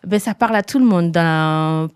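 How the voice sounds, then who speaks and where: A woman's voice: fairly low pitch, normal speed, smooth, no room sound, studio quality. A woman from Haiti hosting an interview podcast, welcoming and enthusiastic, with plenty of hesitant fillers. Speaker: podcast host.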